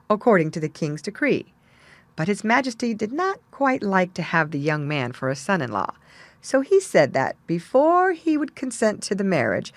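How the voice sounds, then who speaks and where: A woman's voice: mid-range voice, dry recording. A woman reading a fairy tale as a storyteller, in scripted narration.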